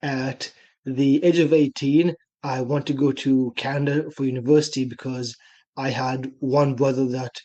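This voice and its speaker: A young man in his twenties from Israel, with an Israeli accent, telling his story in a relaxed way as a podcast guest. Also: mid-range voice, normal speed. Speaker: guest, personal trainer. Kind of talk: casual podcast interview. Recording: dull lo-fi remote audio.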